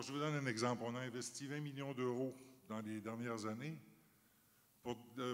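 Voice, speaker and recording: Middle-aged guy, formal panel talk, bit of hesitating, big echoey hall. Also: medium pitch. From Canada. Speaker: company chief on a panel. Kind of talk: prepared panel remarks, then answering questions. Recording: reverberant conference hall.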